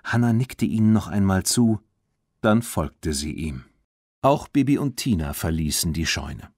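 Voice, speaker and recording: A man reading a children's audiobook as narrator in an expressive storytelling manner. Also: low voice, a little slow, dry recording, clean studio sound.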